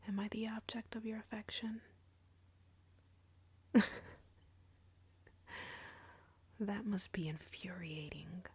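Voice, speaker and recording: A very breathy, husky female vampire played by a woman in an intimate audio roleplay. She speaks slowly in a taunting, seductive whisper with a gravelly crackle, arrogant and cruel as she flirts with her prey. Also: on the high side, darkish tone, exaggerated voice. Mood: amused, teasing. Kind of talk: intimate scripted audio roleplay addressed to the listener.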